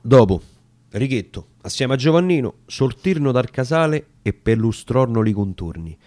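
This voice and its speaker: A man's voice: medium pitch, very animated, moderate pace, darkish tone, no echo. A man with a Roman accent giving an emphatic, theatrical radio recitation of a dialect verse story. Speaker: reader of dramatic verse.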